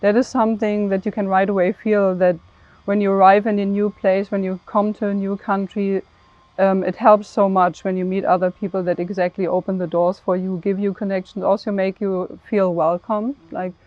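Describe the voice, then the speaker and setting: A woman panelist speaking conversationally in a discussion, measured and earnest, with halting phrasing. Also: mid-range voice, level tone, dry recording, highs cut off. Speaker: panelist, programme head.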